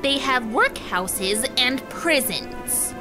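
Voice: sits high, animated, very slow, music in the background, exaggerated voice. A voice actor playing a grumpy miserly duck as Scrooge in a comic dub, whiny and complaining. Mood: grumbling.

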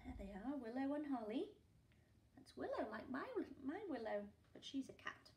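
A woman in her forties, a primary school teacher reading a picture book aloud at home, with gasps and hushed asides for young listeners. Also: sits high, animated, normal speed, reverberant. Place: living room at home, indoors. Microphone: camera a few feet away.

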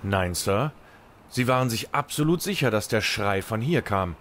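A man's voice: lowish voice, lively intonation, normal speed, fairly bright tone. An actor playing a blunt, unimpressed policeman in a German audio drama, firm, dry and matter-of-fact.

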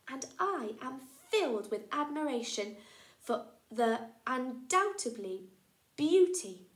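A woman playing a courtly little male mouse who speaks with elaborate, formal politeness. The delivery is slow, and the voice rings in a roomy space. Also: fairly high pitch. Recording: echoey room.

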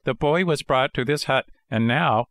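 A man's voice: medium pitch, normal speed, fairly bright tone, no room sound, studio quality, pitched above the performer's own voice, lightly acted. A man voicing a kindly old village man in a storybook, earnest and questioning. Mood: reassuring. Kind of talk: storybook character voice in audiobook narration.

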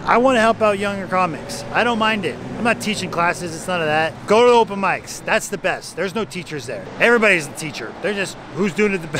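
A man's voice: a little high, noisy background. A man in his thirties, a stand-up comedian, talking candidly to a handheld camera outdoors at night. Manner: candid, self-deprecating, chatty. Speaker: stand-up comedian and vlog host. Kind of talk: casual vlog talk to camera. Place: outdoors at night on a city street. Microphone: camera held at arm's length.

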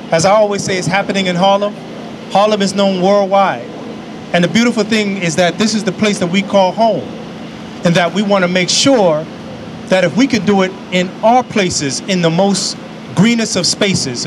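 A man's voice: high-pitched, normal speed. Man giving an emphatic outdoor speech. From New York. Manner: preacherly, emphatic, repeats key phrases. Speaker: invited speaker. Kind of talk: speech at an outdoor public event. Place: outdoor park lawn by a city building. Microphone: podium microphone on a stand. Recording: outdoor traffic noise. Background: city traffic and street ambience.

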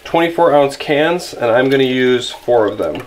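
A man's voice: mid-range voice, expressive, moderate pace, slight background noise. Easygoing young man cooking in his kitchen. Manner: easygoing, apologetic, rambling with restarts. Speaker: outdoor vlogger cooking camp meals. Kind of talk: casual how-to cooking narration. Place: home kitchen, indoors. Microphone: camera filming from above the stove.

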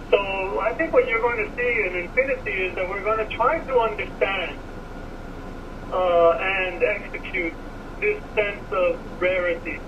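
An American man with a high voice, sounding distant and muffled, like off-air radio audio. A steady hiss sits under his voice as he answers in a thoughtful, halting way. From Miami, USA. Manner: thoughtful, hesitant, many fillers. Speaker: car design director guest.

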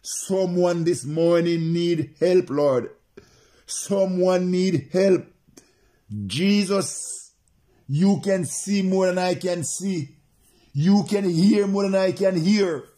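Slow, fervent preacher man praying aloud. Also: high-pitched, dark tone. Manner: repetitive, insistent, chant-like phrasing. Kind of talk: fervent prayer and preaching to remote listeners.

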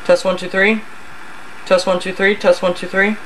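A person thinking aloud in a halting mutter during a casual hands-on electronics demonstration at an indoor workbench. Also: medium pitch, level tone, a bit bright. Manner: halting, muttering to self while working. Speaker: hobbyist host of an electronics repair video. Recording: noisy camcorder audio with room ambience.

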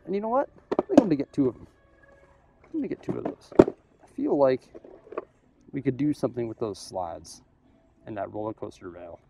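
A young man filming himself in a big store, chatting casually as he weighs up prices and thinks out loud. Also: medium pitch, vocal fry, heavy background noise. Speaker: vlogger. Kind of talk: casual shopping vlog. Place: large store aisle, indoors. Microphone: camera held at arm's length.

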